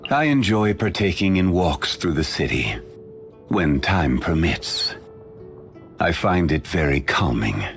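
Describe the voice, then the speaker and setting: A deep-voiced ancient stone god from a game, speaking with slow, formal composure. His low voice rises and falls with lively shifts, and soft music sits faintly beneath the studio read. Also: gravelly, exaggerated voice. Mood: reflective. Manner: measured, formal, unhurried. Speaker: voice actor. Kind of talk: game character voice lines.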